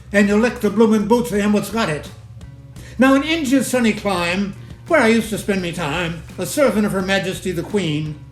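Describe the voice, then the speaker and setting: A man reciting poetry theatrically, in the colloquial manner of an old-time soldier. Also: sits high. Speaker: poetry reader. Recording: roomy echo, faint music behind.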